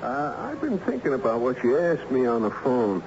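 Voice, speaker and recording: A man's voice: mid-range voice, sing-song. An actor playing a plain-spoken, hesitant working man from a moving company in a radio drama. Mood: matter-of-fact. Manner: halting, colloquial. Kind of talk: American radio drama acting. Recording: muffled, noisy old radio drama recording.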